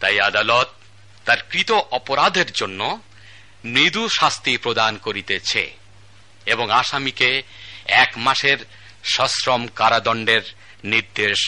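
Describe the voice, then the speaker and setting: A stern formal magistrate. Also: male voice, medium pitch, expressive, unhurried, pauses often, sharp tone. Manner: formal, archaic court diction, calls order. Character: stern male magistrate passing sentence. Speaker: judge. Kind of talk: Bangladeshi state radio drama acting.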